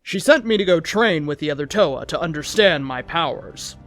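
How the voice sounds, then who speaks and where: A man's voice: a little high, animated, moderate pace, fairly bright tone. A man reading a fan fiction story aloud as host, in a dramatic narrating style. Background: background music.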